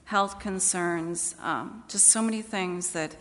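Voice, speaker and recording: A woman's voice: on the low side, slow, fairly bright tone, a little hiss or hum. A woman church leader speaking in a plain, conversational way to a congregation during announcements and prayer. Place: large church meeting hall. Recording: echoey hall with long reverb.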